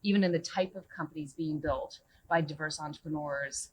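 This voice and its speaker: A woman's voice: sits low, brisk, a little mellow, rough recording. A woman on a conference panel, speaking earnestly and emphatically. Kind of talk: panel discussion. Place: conference stage.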